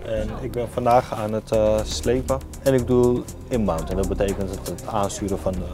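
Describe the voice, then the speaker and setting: Male, thirties, low pitch, informal and plain-spoken controller interview, faint music bed. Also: fairly even intonation, normal speed. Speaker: airport apron controller. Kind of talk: documentary interview about work. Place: glass-walled airport control tower office.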